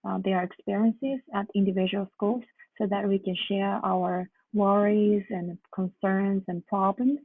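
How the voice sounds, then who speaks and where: Husky woman, phone-like sound. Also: mid-range voice, little pitch movement. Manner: halting, hesitant delivery with repeated phrases. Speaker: graduate student presenter. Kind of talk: academic presentation. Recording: muffled phone-like line, roomy echo.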